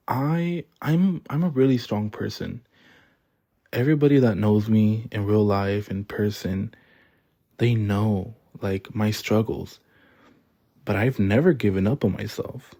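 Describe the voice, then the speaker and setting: A man's voice: on the low side, warm tone, breathy edge. A young man hosting his own podcast, talking earnestly and rambling from the heart with fillers and repeats. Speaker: podcast host. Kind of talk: heartfelt solo podcast monologue.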